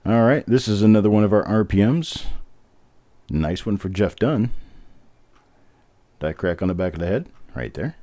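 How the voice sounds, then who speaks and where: A man with a very low, deep voice and a smooth, mellow tone hosts a live coin reveal and chats along in a relaxed way. He makes easygoing, self-deprecating jokes between coins. The recording is dry and close, with little room sound. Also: moderate pace, pauses often, no room sound. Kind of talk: casual live-stream commentary.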